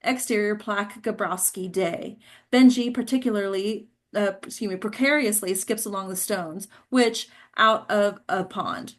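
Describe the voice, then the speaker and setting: Woman reading screenplay directions, medium pitch. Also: measured pace. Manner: stumbles over words. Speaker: stage directions reader. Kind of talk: scripted narration at a table read.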